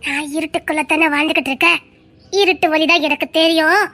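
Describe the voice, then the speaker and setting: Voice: high voice, flat delivery, fast talker, bright, forceful tone, dry recording. A performer voicing an eerie old ghost grandmother who wails and repeats herself. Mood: eerie calm. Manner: wailing, moaning, repeats herself. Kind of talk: horror skit acting.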